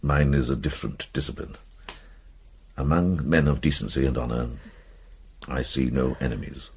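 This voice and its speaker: An actor playing a kindly, worldly old medieval monk in a radio drama, measured and gently probing. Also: male voice, a bass voice, breathy edge, full character voice. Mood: firm, calm. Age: older.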